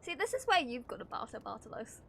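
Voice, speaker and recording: A woman's voice: sits high, sing-song, quick pace, vocal fry, fairly bright tone, slight background noise. A woman game streamer giving casual, playful livestream reactions, giggly and often talking to herself.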